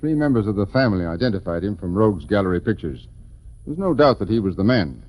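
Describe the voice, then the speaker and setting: An American man playing a brisk, formal insurance executive in 1940s radio drama, businesslike and emphatic. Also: lowish voice, very animated, a little mellow.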